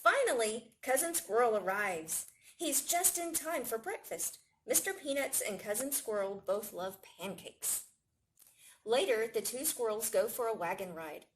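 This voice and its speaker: A woman reads a picture book for young listeners. Her voice sits a little high and moves widely in pitch, with expressive storytelling delivery. There is faint noise in the recording. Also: measured pace, low-quality recording. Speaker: library staff storytime reader. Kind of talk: children's picture book read-aloud.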